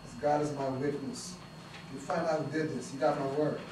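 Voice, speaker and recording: A man's voice: mid-range voice, steady tone, normal speed, lightly acted. An actor reading the part of a policeman reassuring a grieving mother, at a table read. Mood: solemn. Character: steady police partner offering comfort. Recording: echoey room from far off with noise.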